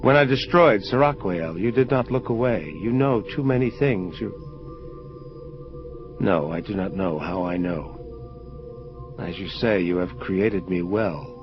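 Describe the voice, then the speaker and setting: A man playing a solemn, troubled angel of vengeance in an audio drama, speaking in formal, measured phrases. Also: low voice, expressive. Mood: awed, hesitant. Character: grave, troubled male angel of vengeance confronting his creator. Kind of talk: dramatic audio play dialogue. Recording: dull lo-fi audio under music. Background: prominent atmospheric music.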